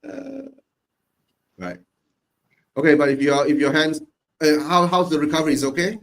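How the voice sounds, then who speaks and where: Malaysian dude hosting a footy watch-along, slightly higher voice, says yeah yeah a lot. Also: male voice, very animated, moderate pace, fairly bright tone. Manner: short reactive replies, repeating "yeah". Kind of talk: casual chat with live match reactions.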